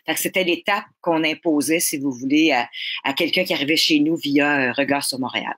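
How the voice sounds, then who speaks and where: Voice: mid-range voice, a little fast, a bit bright, breathy edge. A panel guest with a Québécois French accent, talking casually and stammering over repeated words.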